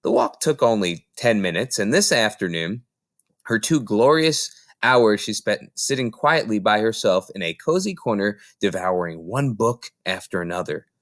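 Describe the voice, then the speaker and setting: A man reading a children's novel aloud as an expressive narrator. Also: lowish voice, moderate pace, no room sound, studio quality. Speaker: reader.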